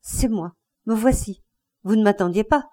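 A woman's voice: mid-range voice, normal speed, warm tone, close to an everyday voice. A woman voicing a bitter, enraged older man, a betrayed husband in a story, breathless and insistent in his outbursts. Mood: bitter, menacing.

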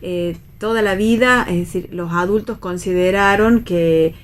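An Argentine woman talking casually and a little haltingly in a literary discussion, often checking with short tag questions. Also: lowish voice. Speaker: panelist on a radio theatre discussion show. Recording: clear background ambient noise.

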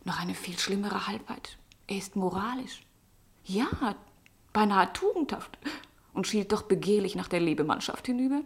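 An actress playing a young, charming, witty lady-in-waiting in a German radio drama, teasing, ironic and sharp-tongued. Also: medium pitch, animated, moderate pace, natural voice. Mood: mocking, scornful. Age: young adult.

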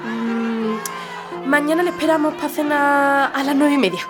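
A woman plays a spirited young activist in a radio play. Her voice is fairly high and composed, with music clearly heard behind. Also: unhurried, a crowd in the background. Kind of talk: audio drama acting.

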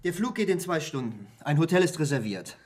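An actor playing a gruff, worried editor-in-chief in a German radio drama, curt and commanding. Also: male voice, fairly high pitch, very animated, brisk, a little mellow. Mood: firm, worried.

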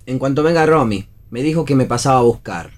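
Actor as a wry, animated young Argentine husband. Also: male voice, mid-range voice, a little fast, natural voice. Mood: sarcastic. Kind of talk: theatrical play reading for radio.

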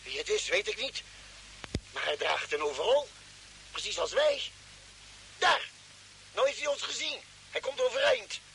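An actor playing a cautious, concerned expedition doctor in a vintage radio drama, speaking in an insistent, cautioning way. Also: male voice, high voice, animated, normal speed, gravelly, long pauses, sharp tone, heavily acted, rough recording. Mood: puzzled, tense. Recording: hissy old radio recording.

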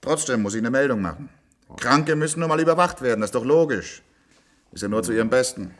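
A man's voice: medium pitch, lively intonation, fast, natural voice. Blunt, chummy handyman played by a man. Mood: matter-of-fact. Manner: colloquial, blunt. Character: chummy, nosy institute handyman, matter-of-fact working man. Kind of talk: German science-fiction radio drama acting.

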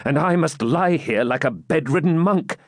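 A man's voice: on the high side, sing-song, moderate pace, no echo, clean studio sound, voice pushed up. A man playing a wounded medieval knight, proud and impatient, with declamatory, insistent, archaic chivalric speech. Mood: restless, impatient.

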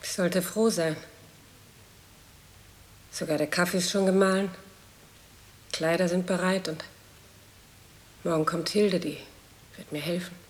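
An actress playing a nervous, apologetic wife in intimate radio-drama dialogue, hesitant and anxious. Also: female voice, lowish voice, fairly even intonation, measured pace, long pauses, lightly acted. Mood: subdued.

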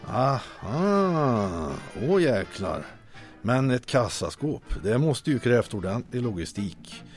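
A man's voice: mid-range voice, sing-song, soft background music, lightly acted. An actor playing a glum, worried technical expert in a comic radio drama, hesitant and defeatist as he speaks. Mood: hesitant, explaining.

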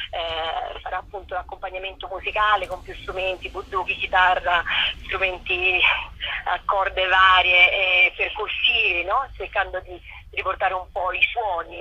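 A woman's voice: mid-range voice, sharp tone, breathy edge, no echo. A woman, a guest on a radio phone interview, explaining expressively and with enthusiasm. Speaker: guest, actress and writer. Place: on a telephone line. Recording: telephone call on radio.

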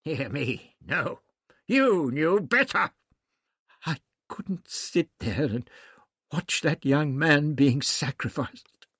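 Man playing older male doctor, authoritative, irascible, emphatic, storybook character, slow delivery, lively pitch. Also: husky, no echo, clean studio sound, full character voice. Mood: angry, scolding. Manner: emphatic, scolding, old-fashioned phrasing.

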